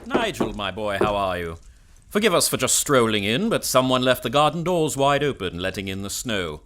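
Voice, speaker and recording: An actor playing a hearty, courtly family friend in a period radio melodrama, effusive and given to repeating himself. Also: male voice, mid-range voice, big pitch swings, normal speed, a bit bright, a little hiss or hum. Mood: hearty welcome. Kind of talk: period radio drama acting.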